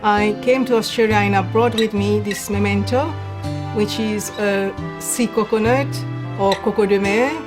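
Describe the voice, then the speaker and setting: A woman's voice: medium pitch, steady tone, normal speed, over music. A woman from the Seychelles, a native Creole speaker, introducing herself simply and steadily with a few fillers. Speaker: interviewee.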